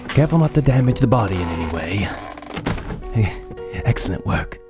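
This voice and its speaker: Man playing an arrogant mad scientist type, deep voice, bossy and exact, horror radio play. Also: big pitch swings, fairly quick, over loud music. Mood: eager. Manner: imperious, clinical, precise. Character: arrogant, obsessive young male medical scientist. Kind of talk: audio drama acting.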